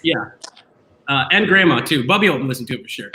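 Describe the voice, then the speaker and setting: Animated guy hosting a drinking show, bright high voice, echoey room. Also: brisk. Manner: animated, joking, laughs often. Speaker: host. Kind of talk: casual online show banter.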